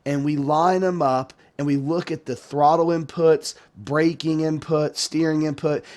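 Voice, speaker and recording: A man with a sore throat and a hoarse, tired voice hosts his sim racing channel, talking casually with many restarts and fillers. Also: fairly high pitch. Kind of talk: casual talk to camera.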